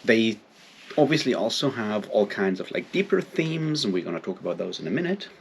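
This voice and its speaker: Man, low gravelly voice, hesitant thinking-aloud delivery, informal book review, faint background noise. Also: big pitch swings, fairly quick. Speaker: book reviewer, video host. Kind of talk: informal book discussion, unscripted.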